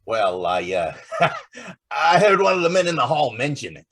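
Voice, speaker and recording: A man's voice: a little high, sing-song, normal speed, fairly bright tone, slightly rough, heavily acted. A man playing a brash, wisecracking newspaper reporter in old-time radio drama style, slangy and pushy. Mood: brash, persistent.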